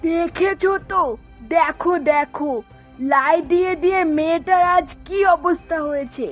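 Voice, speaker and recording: A woman's voice: very high voice, moderate pace. A woman voicing an exasperated middle-aged mother in a cartoon, complaining and scolding with emphasis. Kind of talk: Bengali cartoon voice acting. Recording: music behind the voice.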